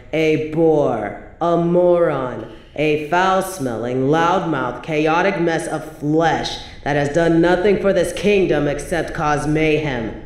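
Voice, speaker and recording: A woman playing an evil, possessive medieval queen in a roleplay audio drama, haughty and theatrical with drawn-out, breathy asides. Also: sits low, darkish tone, roomy sound, slight background noise. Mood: scornful, haughty. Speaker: voice actor in a scripted roleplay audio.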